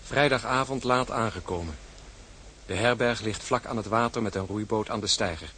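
Hearty ship's mate, noisy background. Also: male voice, fairly low pitch, moderate pace, pushed and bright. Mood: quiet, reporting. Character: hearty, loyal ship's mate, blunt and joking. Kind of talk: Dutch radio drama acting.

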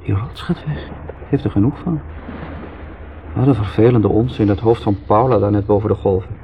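A man's voice: fairly low pitch, moderate pace, close to an everyday voice. An actor playing a wry, musing, fatalistic man in a 1970s radio drama, speaking with irony. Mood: bewildered, resentful. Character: Joris, sardonic, rambling, fatalistic brother. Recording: tinny, noisy 1970s radio recording.

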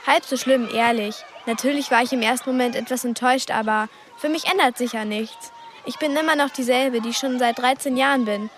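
Woman playing earnest curious 13 yr old girl in a radio play, high voice, guarded, bit of noise behind. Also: brisk, a faint crowd, lightly acted. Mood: confiding. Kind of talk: radio drama acting.

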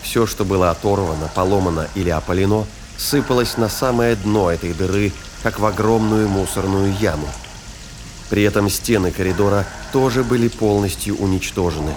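A man's voice: lowish voice, moderate pace, background noise. A man narrating a science fiction audio drama in a scripted storytelling style. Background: ambience and sound effects.

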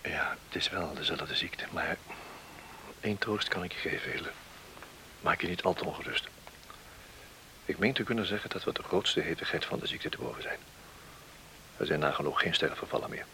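An actor playing a calm, grave village doctor in a Dutch radio drama, measured and reassuring. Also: male voice, low voice, low-quality recording. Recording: hissy old radio drama recording.

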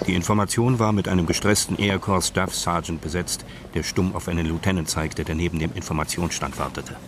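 A man's voice: low voice, fairly even intonation, fairly quick, background noise. A man reading scripted narration for a crime radio drama.

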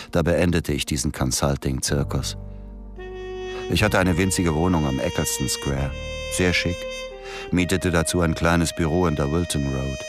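An actor playing a weary former intelligence agent, narrating matter-of-factly in the first person in a radio drama. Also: male voice, rumbling low.

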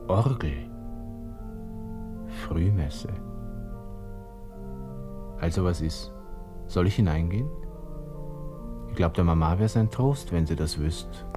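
A proud young Viennese army lieutenant with a low voice, brooding and self-dramatizing. He talks to himself in abrupt colloquial bursts, as in an old radio play with music. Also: takes it slowly, pauses often. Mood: anguished, self-pitying. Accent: Viennese Austrian. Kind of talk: 1960s German radio drama inner monologue.

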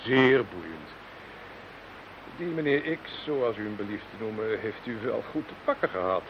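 An actor playing a smug, condescending scientific expert in a radio play, speaking formally and pompously. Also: male voice, lowish voice, animated, a little slow. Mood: smug, fascinated. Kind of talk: Dutch radio play acting. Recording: dull, hissy radio play recording.

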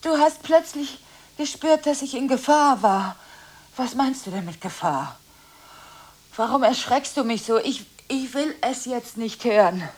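An actress in a radio drama playing a frantic, easily unsettled woman on telephone calls, speaking in halting, repetitive fragments. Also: high voice, expressive. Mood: flustered, pleading. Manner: breathless, repeats words, broken halting phrases, swings between whispers and outbursts. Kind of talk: radio drama acting, one-sided telephone monologue. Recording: hissy studio radio drama recording.

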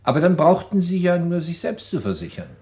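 A probing, ironic prosecutor. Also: male voice, fairly high pitch, big pitch swings, fairly quick, a little mellow. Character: skeptical male prosecutor questioning a suspect. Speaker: prosecutor in a radio play. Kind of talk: 1950s radio drama acting.

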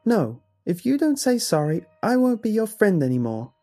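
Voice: medium pitch, lively intonation, measured pace, creaky, dark tone, soft background music, voice pushed up. A man voicing a frightened, tearful young boy in a narrated horror story. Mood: upset, shrieking.

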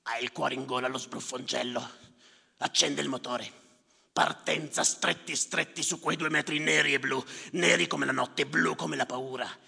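A callous male functionary in a stage monologue, heard in a long, ringing hall. His voice is very sharp and forceful, heavily creaky and rasping. He mutters and repeats himself with sardonic contempt. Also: mid-range voice, steady tone, moderate pace, full character voice. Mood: sardonic storytelling. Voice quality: gravelly, rasping. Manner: sardonic, contemptuous, obsessively repetitive. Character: callous, muttering male bureaucrat tallying drowned migrants. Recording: reverberant hall, live stage.

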